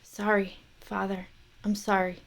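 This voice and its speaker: A woman's voice: medium pitch, flat delivery, a little slow, vocal fry. A woman voicing a wounded, vengeful young male swordsman, speaking in a grim, solemn way. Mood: weak, pleading. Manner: grim, repeated solemn vow.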